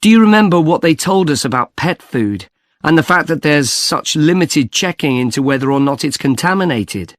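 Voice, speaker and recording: A man's voice: a little high, expressive, moderate pace, no echo, natural voice. Actor as a young male student, dry studio sound. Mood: engaged, opinionated. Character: young male student chatting about his course. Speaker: actor in a listening test dialogue. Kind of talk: scripted student discussion.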